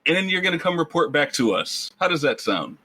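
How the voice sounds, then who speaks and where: Man as a bossy rogue, bright and animated. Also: a little high, brisk, heavily acted. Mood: commanding, negotiating. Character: bossy, menacing, smooth-talking male rogue schemer.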